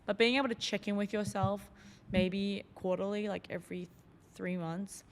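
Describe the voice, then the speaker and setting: A woman's voice: mid-range voice, steady tone, moderate pace, in a large hall, a little hiss or hum. A woman hosting a solo podcast, talking in a loose, reflective way with lots of fillers. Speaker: podcast host.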